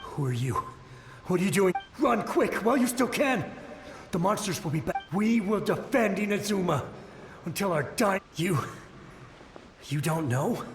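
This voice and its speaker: A dying soldier in a video game, strained and high-voiced. He speaks in anguished, halting bursts, devoted to his ruler. His voice rings with ghostly echo over music. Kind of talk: dramatic video game acting. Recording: long echoing reverb, music behind.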